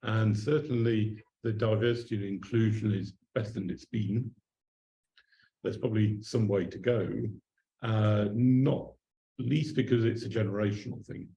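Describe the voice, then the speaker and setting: A man's voice: fairly low pitch, steady tone, unhurried, mellow, roomy sound, lo-fi sound. A man answering as a panelist at a conference, wry and thoughtful, often hedging his points. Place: conference room.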